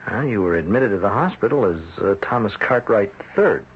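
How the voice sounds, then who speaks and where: A man acting a patient, gently skeptical psychiatrist in an old-time radio drama, speaking in a hesitant, thoughtful way. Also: lowish voice, expressive, close to an everyday voice. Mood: patient, reassuring. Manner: hesitant, thoughtful, with hums and pauses. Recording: muffled, noisy old radio broadcast recording.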